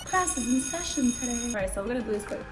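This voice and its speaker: A young woman born in Cuba and raised in Israel, whose first language is Hebrew, rambling fast and slangy through a casual vlog in a room set up for filming. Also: fairly high pitch, animated, over music. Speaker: vlogger. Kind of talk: casual get-to-know-me vlog. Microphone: camera mic.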